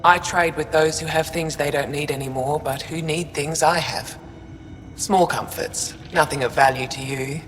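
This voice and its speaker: An actor playing a wistful alien refugee trader in a video game, speaking in a measured way. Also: medium pitch, steady tone, a bit bright, long reverb tail, a little hiss or hum, close to an everyday voice. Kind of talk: video game dialogue acting.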